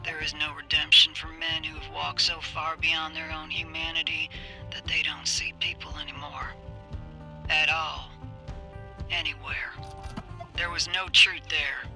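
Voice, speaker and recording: Piercingly hard-edged, grim bounty hunter. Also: female voice, low-pitched, flat delivery, a little slow, creaky, pushed and bright, full character voice. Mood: grim, reflective. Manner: blunt, hard-bitten, folksy. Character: tough, grim, vengeful female bounty hunter. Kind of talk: dramatic video game voice acting. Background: music.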